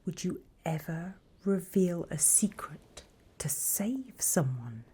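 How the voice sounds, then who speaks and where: A woman voicing a sly, mocking demon in an ASMR roleplay, with a British accent and a breathy, husky voice that often drops to a whisper. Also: fairly low pitch, big pitch swings, warm tone, exaggerated voice. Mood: coaxing, interrogating. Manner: teasing, condescending, unhurried. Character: sly, mocking female demon who trades in purchased souls.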